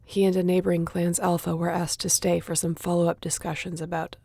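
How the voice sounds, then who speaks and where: A woman's voice: on the low side, level tone, brisk, creaky, warm tone, breathy edge, dry recording. A woman playing a sassy, competitive young shifter in an audio roleplay, talking in a casual, teasing way. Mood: playful. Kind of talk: audio roleplay acting, addressing the listener.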